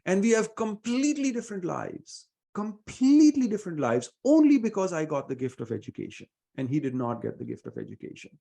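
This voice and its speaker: Casting: middle-aged Indian-accented man, warm low-key tone, earnest guest answering with pauses and fillers. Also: wildly swooping pitch, creaky. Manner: earnest, measured, many fillers. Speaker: venture investor guest. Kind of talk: reflective interview answers.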